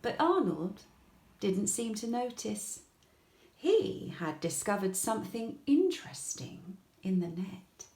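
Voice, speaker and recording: A woman reading a children's bedtime story aloud in an expressive, gentle way, with deliberate pauses. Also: mid-range voice, a little hiss or hum. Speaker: storybook reader. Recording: echoey room.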